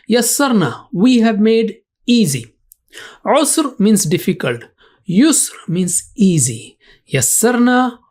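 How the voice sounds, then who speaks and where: Lesson narrator, mid pitch, slow, emphatic, explains word by word. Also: a little mellow. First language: Urdu. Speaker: religious language teacher.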